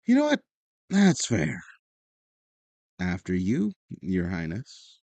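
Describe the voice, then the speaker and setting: A woman's voice: extremely low pitch, wildly swooping pitch, takes it slowly, dark tone, no echo, exaggerated voice. A woman playing a guarded but caring, wry teenager in an audio drama, speaking in a casual, understated, teasing way.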